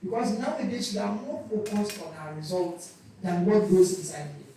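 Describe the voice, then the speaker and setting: A man's voice: high-pitched, animated, moderate pace, warm tone, slight background noise. A man at a church youth session speaking earnestly about studying, in long, lecturing sentences. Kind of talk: talk on studying and revision. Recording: echoey church hall, mic at a distance.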